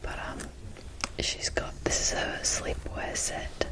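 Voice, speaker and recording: A young adult woman whispering in a casual ASMR show-and-tell style, breathy and enthusiastic, with halting, unhurried phrasing. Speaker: ASMR video creator.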